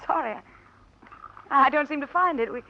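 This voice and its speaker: An actress playing a nervous, guilty woman in a 1940s radio drama, halting and apologetic. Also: high-pitched. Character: nervous guilty woman, flustered jury foreman. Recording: muffled noisy old radio broadcast.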